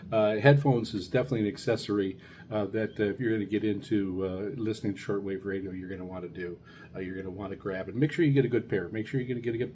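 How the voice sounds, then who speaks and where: Low-voiced man, halting, over background noise. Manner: halting, rambling, hesitant delivery. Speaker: radio hobbyist reviewer, show host. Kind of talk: casual unscripted product review. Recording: clear steady background noise.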